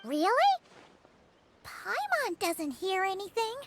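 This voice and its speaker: Chirpy little girl companion, very high squeaky voice, lively bouncy pitch, game music behind. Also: slow, very noisy, full character voice. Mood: curious. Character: tiny floating girl companion, chirpy and curious. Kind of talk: video game voice acting.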